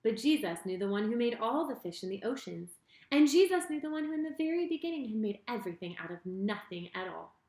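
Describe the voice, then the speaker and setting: A woman reading a children's story in an expressive, deliberate way, speaking directly to her young listeners. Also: on the high side. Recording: roomy, echoing sound.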